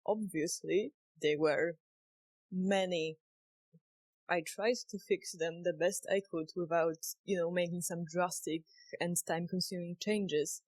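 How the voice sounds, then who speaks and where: Slow, careful woman with a Polish accent. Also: on the low side, steady tone, a little mellow, slightly rough, no echo, poor audio. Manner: conversational, explaining step by step. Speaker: indie game developer. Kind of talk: casual devlog narration.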